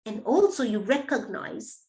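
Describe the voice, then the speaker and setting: High-voiced, slow-talking coach woman. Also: very animated, darkish tone. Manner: encouraging, repetitive, direct address to listener. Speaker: menopause coach and host. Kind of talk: live motivational talk to viewers. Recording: echoey room.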